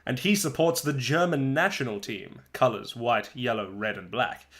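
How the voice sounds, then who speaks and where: A man's voice: mid-range voice, moderate pace, fairly bright tone, lightly acted. Man reading as chatty soccer-mad schoolboy narrator. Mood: eager. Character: chatty eleven-year-old soccer-mad schoolboy, wry and eager. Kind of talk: dramatic first-person storybook reading.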